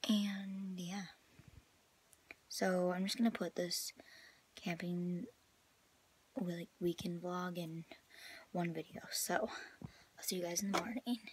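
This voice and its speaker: A young woman talks casually to a phone held at arm's length. Her voice sits a bit low and moves slowly, with a halting, filler-heavy flow. There is faint-to-moderate noise from the handheld recording in a small indoor space. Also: steady tone. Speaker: vlogger. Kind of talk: casual vlog chat. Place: small indoor rooms, camper and bedroom. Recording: noisy handheld phone audio.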